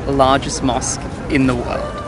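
A man's voice: medium pitch, flat delivery, a little slow, heavy background noise. A young man vlogging a trip, upbeat and chatty as he shows places to his viewers. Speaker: travel vlogger. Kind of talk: casual travel vlog. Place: outdoors. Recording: music under the voice.

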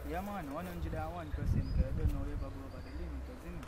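A man's voice: on the high side, fast talker, heavy background noise. A young man with a Jamaican accent, talking in a casual outdoor interview and chanting his verses in patois. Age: young adult. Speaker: artist. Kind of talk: casual interview and a cappella deejaying. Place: outdoors in a garden. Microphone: camera mic from a few feet away.

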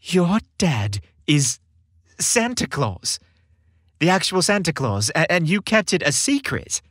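A man's voice: on the high side, sing-song, takes it slowly, a bit bright, no room sound, clean studio sound, pitched above the performer's own voice, full character voice. A man voicing an earnest, awestruck young man in a holiday romance story, amazed and questioning.

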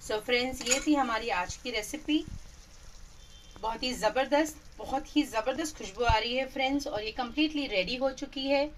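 A woman's voice: sits high, moderate pace, distant mic, slight background noise, poor audio. A woman hosting her cooking channel, chatting through a recipe as voice-over and mixing English phrases into her talk.

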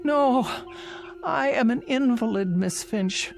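A woman's voice: a little high, slow, gravelly, a little mellow, faint music behind. An older actress playing an anxious, insistent, pleading elderly invalid woman in a radio drama. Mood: agitated demanding. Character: frightened, fretful elderly invalid woman living alone.

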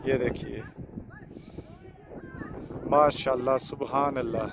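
Male travel vlogger, 30s, slightly high voice, casual narration over clearly audible outdoor wind noise. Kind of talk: casual vlog narration to camera. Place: outdoors in an open mountain valley. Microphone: handheld phone. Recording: windy outdoor phone audio, loud noise.

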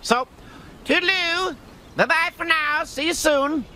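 A man's voice: extremely high pitch, a little slow, pushed and bright, a little hiss or hum, heavily acted. A man voicing a smug, mischievous little imp from another dimension in a cartoon video game, gleefully taunting and theatrical. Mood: gleeful, mocking.

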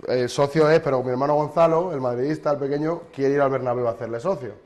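A man's voice: medium pitch, fast talker, a little mellow. A man in his thirties from Spain, a sports journalist guest chatting casually in a kitchen interview, telling rambling anecdotes with many restarts. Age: 30s.

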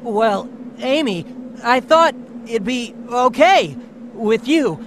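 An actor playing a cocky, easygoing young cartoon hero in a video game cutscene, breezy and confident. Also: male voice, extremely high pitch, lively intonation, slow, fairly bright tone, a little hiss or hum, heavily acted. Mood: awkward.

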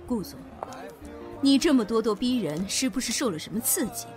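A woman's voice: a little high, expressive, moderate pace, a little mellow, close to an everyday voice. An actress playing an indignant, haughty middle-aged mother in an audio drama. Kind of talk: Chinese audio drama acting. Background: background music.

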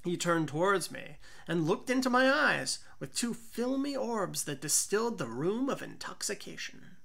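A woman reading a classic tale as dramatic audiobook narration, theatrical and deliberate. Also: lowish voice, sing-song, creaky, a bit bright. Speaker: amateur story reader.

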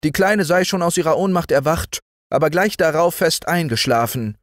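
An earnest young boy, bright and eager, speaking in a high, quick voice, as a man reading aloud puts him on. The sound is close and dry, with no room around it. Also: lively intonation, no room sound, lightly acted.

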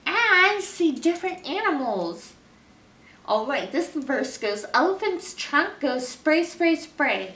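A middle-aged American woman leading a children's story time, expressive as she talks to young listeners. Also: high voice. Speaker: librarian storyteller. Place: small library room, indoors. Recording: roomy, picked up from a distance.

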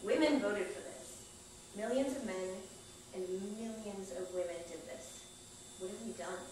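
A woman's voice: medium pitch, expressive, a little slow. Woman's conversational monologue, distant and echoey. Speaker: performer at a live stage reading. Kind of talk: conversational personal monologue, read aloud. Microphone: distant camera microphone. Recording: echoey theater, far-off camera, faint noise.

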